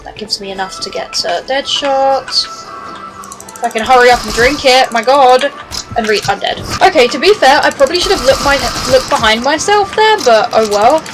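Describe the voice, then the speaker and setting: A fairly high-voiced woman talks through a video game run, chatty and excitable, swearing freely as she plays. Her voice sits a little lower than usual after days of streaming. Game music plays clearly behind her. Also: moderate pace, low-quality recording. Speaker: gaming streamer. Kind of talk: casual gameplay commentary.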